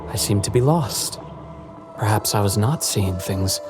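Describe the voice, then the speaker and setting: Low, courteous young wanderer. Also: male voice, lively intonation, a little slow. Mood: pleased, grateful. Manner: formal, ornate, polite phrasing. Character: courteous, eloquent young male wanderer, serene and grateful. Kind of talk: scripted video game acting. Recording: game music behind the voice.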